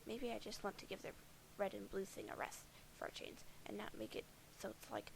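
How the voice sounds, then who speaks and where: A woman's voice: medium pitch, fairly even intonation, a little fast, gravelly, background noise, lightly acted. A woman voicing a bitter, foul-mouthed alien troll boy who sees himself as a prophet of doom, sardonic and defensive.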